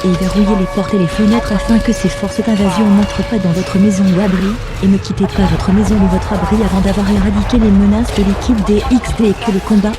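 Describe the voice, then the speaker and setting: A formal, synthetic-sounding announcer reading a scripted emergency broadcast alert. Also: medium pitch. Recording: music behind the voice.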